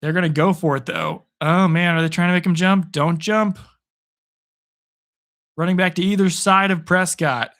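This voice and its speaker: A man streaming live football reactions, his voice worn hoarse after a long session. He sits a bit higher in pitch than most men and keeps up a chatty, upbeat stream of commentary and replies to viewers. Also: fairly even intonation, normal speed, pauses often, fairly bright tone. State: hoarse from hours of talking. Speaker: sports streamer. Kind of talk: live play-by-play and chat reactions.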